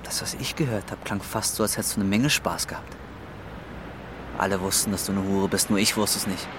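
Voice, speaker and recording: A man's voice: low-pitched, steady tone, brisk, noisy background, lightly acted. An actor in a radio drama playing a desperate, bitter young man in his twenties, slangy and foul-mouthed. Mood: bitter, menacing. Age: 20s. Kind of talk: radio drama acting and narration.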